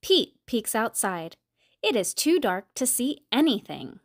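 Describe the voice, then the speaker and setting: A woman reading a storybook aloud to young children in an expressive, deliberate storytelling manner. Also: fairly high pitch, no echo, clean studio sound. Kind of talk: scripted read-aloud narration for young children.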